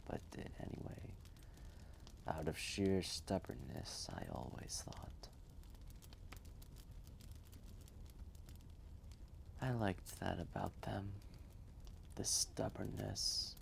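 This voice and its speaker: An ancient male dragon, played by a man, speaks in a deep, heavily gravelled voice. He is a humbled, reflective storyteller who lingers over short phrases with long pauses. Faint noise sits behind this fireside roleplay. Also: heavily acted. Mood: wistful, reflective. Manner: slow, deliberate, pausing, solemn reminiscing. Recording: faint background noise, fireplace ambience.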